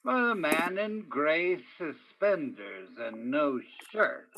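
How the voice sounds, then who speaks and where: An actor playing a world-weary police detective who narrates a radio play in a deliberate, dramatic way with heavy pauses. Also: male voice, a little high, lively intonation, background noise. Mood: brooding, intense. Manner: deliberate, dramatic, poetic second-person delivery with heavy pauses. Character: world-weary, brooding male police detective named Danny. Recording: dull, lo-fi sound.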